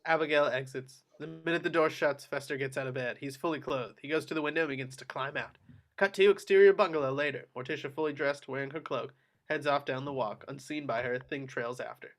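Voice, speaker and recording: A man's voice: mid-range voice, a little fast. A man reading screenplay action descriptions aloud as narrator in scripted narration.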